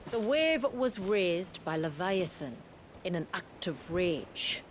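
An actress in a video game cutscene playing a proud, solemn envoy of a hidden tribe, speaking formally and with poise. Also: female voice, lowish voice, sing-song, takes it slowly, a little hiss or hum.

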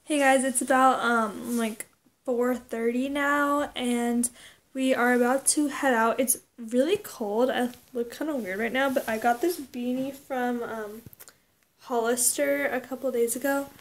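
Young woman, high voice, slow casual vlog chat, hesitant, indoors on a handheld camera. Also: steady tone. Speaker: vlogger. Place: indoors, bedroom and hotel suite.

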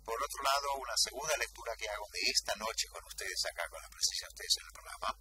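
A middle-aged man with a Rioplatense Argentine accent hosts a radio conversation, summing up in a reflective, measured way. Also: mid-range voice, wildly swooping pitch, sharp tone, a little hiss or hum. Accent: Rioplatense Argentine Spanish. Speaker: radio host. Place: radio studio. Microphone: studio microphone.

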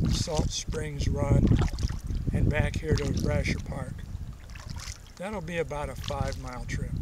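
An older man, a kayaking vlogger, talking slowly and easily about his route while he paddles out on open water. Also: a little high. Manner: slow, relaxed, explaining a route while paddling. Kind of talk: casual on-camera narration. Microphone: action camera mounted on the kayak. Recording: loud water and wind noise.

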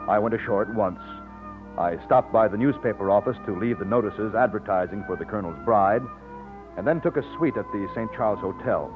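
An actor playing a loyal, modest young Texas plantation overseer, polite and earnest, in an old-time radio drama. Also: male voice, on the low side, brisk, lightly acted. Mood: narrating. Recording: muffled vintage radio, music behind.

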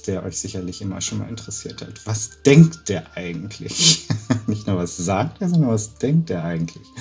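Husky, lowish-voiced man in an interview. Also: darkish tone. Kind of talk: casual interview talk.

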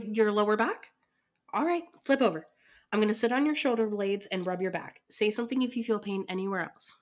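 A woman playing a caring, gently bossy partner in an ASMR roleplay, coaxing and affectionate but firm with the listener. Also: fairly high pitch, lightly acted. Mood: soothing, coaxing.

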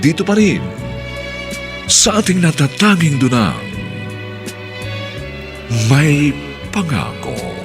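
A man with a Filipino accent narrating a radio drama in grand, theatrical, drawn-out scripted phrases. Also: fairly high pitch, huge pitch swings, creaky, over music.

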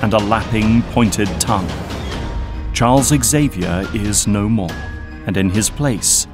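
A man giving deliberate, scripted narration for an audio drama. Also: lowish voice, music in the background.

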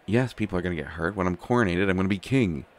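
A man's voice: low voice, racing, lightly acted. A man playing an anxious, stammering young prince who is reluctant to become king, in a roleplay audio drama. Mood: anxious dread. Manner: flustered, hesitant, stammering, sighing. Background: faint music.

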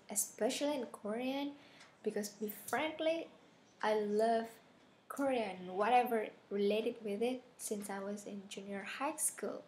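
Young Indonesian woman in her 20s, Indonesian-accented English, earnest and careful, slightly high voice, faint webcam hiss. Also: darkish tone. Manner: earnest, careful, with small grammar slips. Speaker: scholarship applicant. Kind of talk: prepared self-introduction video. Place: small bedroom with patterned wallpaper, indoors. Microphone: webcam at desk distance.

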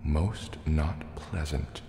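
A man voicing a large, menacing, distrustful sea serpent dragon in a slow, deliberate, soft-spoken ASMR roleplay. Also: a bass voice, fairly even intonation, gravelly, heavily acted. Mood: suspicious, threatening. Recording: echoey cave reverb, faint noise. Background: water and cave ambience.

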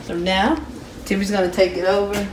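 A woman's voice: mid-range voice, flat delivery, brisk, noisy background. A middle-aged woman, chatting homey to viewers as she cooks dinner in her home kitchen. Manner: chatty, homey, talks to viewers while cooking. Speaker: home cooking vlogger. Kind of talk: casual cook-along narration. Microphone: phone camera held while cooking. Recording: echoey kitchen with pot and dish clatter.

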